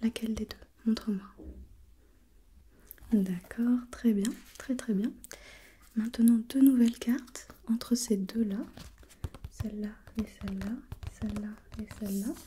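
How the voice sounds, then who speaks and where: A woman whispering breathily in an ASMR role play, gently putting questions step by step as an examiner and softly repeating herself. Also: fairly high pitch, fairly even intonation, measured pace, long pauses, a little hiss or hum. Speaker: examiner in a role-played visual test.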